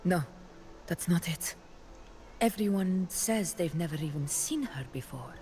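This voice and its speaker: A woman playing a stately warrior leader in a video game, speaking in a deliberate, formal way. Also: fairly low pitch, animated, mellow, slight background noise. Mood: somber, amazed. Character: stately female warrior leader, warm toward her comrade. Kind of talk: scripted video game dialogue.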